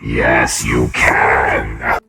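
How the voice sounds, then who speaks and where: Gravelly slow void creature. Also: male voice, extremely low pitch, little pitch movement, heavy background noise, pitched below the performer's own voice, full character voice. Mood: earnest. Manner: slow, gravelly, desperate pleading. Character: weary reborn male void creature, pleading. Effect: voice changer. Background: loud game music.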